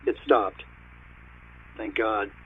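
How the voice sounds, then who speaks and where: A man's voice: fairly low pitch, steady tone, drawn-out words, phone-quality sound, slight background noise. An actor playing a concerned young man in dramatic dialogue with a friend. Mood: uneasy, soothing.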